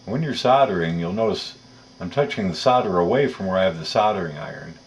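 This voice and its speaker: A man with a deep, low voice walks through a practical task one step at a time. He explains methodically and in detail as he works, like a patient how-to instructor. There is faint noise behind his voice. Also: animated, a little fast, poor audio. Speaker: electronics tutorial presenter. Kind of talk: step-by-step hands-on instruction, talking while working.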